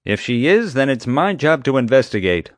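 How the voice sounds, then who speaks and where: Guy doing a pompous zoo security chief for an audiobook, bossy and clipped, pitched way up, dry sound. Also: big pitch swings, moderate pace, no room sound, natural voice. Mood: officious, stern. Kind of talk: audiobook character dialogue.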